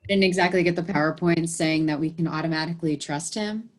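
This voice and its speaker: Lowish-voiced, blunt young-sounding female activist, acted. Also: steady tone, fairly quick, lightly acted. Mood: distrustful. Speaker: actress in a screenplay table read. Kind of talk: table read acting.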